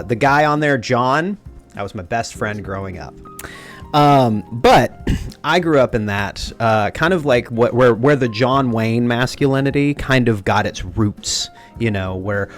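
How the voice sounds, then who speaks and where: A young man from rural Texas hosting a casual conversation, earnest and openly emotional, talking with his hands. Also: fairly low pitch. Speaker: podcast host.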